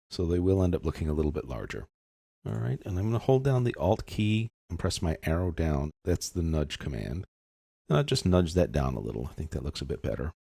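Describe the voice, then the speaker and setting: Low, gravelly-voiced man giving a tutorial. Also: a little mellow, no room sound, studio quality. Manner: casual, informal explaining. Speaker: software tutorial instructor. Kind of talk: step-by-step screen tutorial narration.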